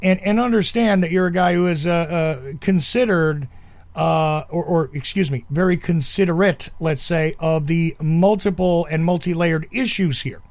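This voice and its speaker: A man's voice: on the high side, moderate pace, darkish tone, dry recording. A man hosting a podcast interview, talking in a rambling, emphatic way that keeps interrupting itself. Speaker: podcast host. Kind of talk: conversational interview.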